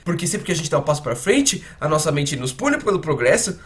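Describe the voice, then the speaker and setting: A man's voice: a little high, sing-song, a little fast, fairly bright tone. A man with a Brazilian accent dubbing an earnest psychiatrist coach, explaining conversationally and emphatically with repeated words and rhetorical questions. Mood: emphatic teaching. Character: earnest male psychiatrist coaching gamers on habits. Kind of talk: conversational self-help explainer, dubbed.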